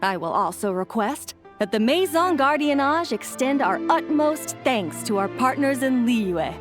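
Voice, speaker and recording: An actress playing an earnest, dutiful young policewoman in a video game, with a crisp official manner. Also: high voice, expressive, measured pace, soft background music. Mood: relieved, dutiful. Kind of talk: dramatic game voice acting.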